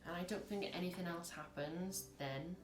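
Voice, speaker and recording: A woman reads tarot in a rambling, chatty way with a husky, low voice. She acts out little scenes as she goes. The room around her is echoey. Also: normal speed, noisy background. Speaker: tarot reader. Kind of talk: casual tarot reading to camera.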